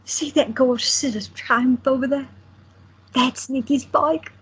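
A woman playing a breathy, excitable young woman. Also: high voice, measured pace, heavily acted. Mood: excited. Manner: excitable, blurting. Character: excitable young woman who adores her twin brother.

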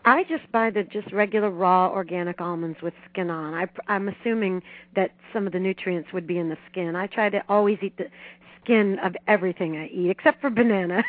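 A woman's voice: mid-range voice, fairly quick, no room sound. A middle-aged woman giving conversational advice as an expert guest in an interview. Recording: dry, tinny phone line.

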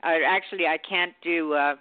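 A woman from Norway, chatting graciously and at an unhurried pace as a guest on a call-in show, with no trace of an accent. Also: lowish voice, steady tone, no room sound. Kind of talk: casual call-in chat. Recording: tinny phone line.

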